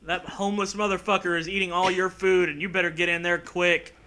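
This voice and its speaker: A man's voice: high-pitched, level tone, a little fast, bright, forceful tone. A man giving casual comedic commentary, mocking and sarcastic, with plenty of swearing. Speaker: co-host riffing on a story.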